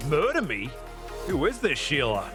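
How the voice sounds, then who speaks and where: Game starship captain, brash, crude, irreverent, lively intonation, clear background music. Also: male voice, a little high, normal speed. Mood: incredulous, irritated. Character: brash, cocky, foul-mouthed male starship captain. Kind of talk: scripted video game dialogue.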